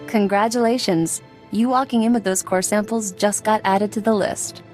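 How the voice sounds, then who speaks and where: A woman's voice: mid-range voice, fairly even intonation, moderate pace, darkish tone, soft background music, natural voice. A woman playing a streetwise, confident smuggler in a video game, teasing and sardonic. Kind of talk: video game character acting.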